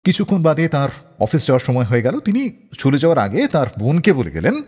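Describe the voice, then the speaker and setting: A man hosting a radio show, telling anecdotes in a dramatic, expressive scripted style. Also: a little high, quick pace, slightly rough. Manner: expressive, acts out quoted dialogue. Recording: long reverb on the voice.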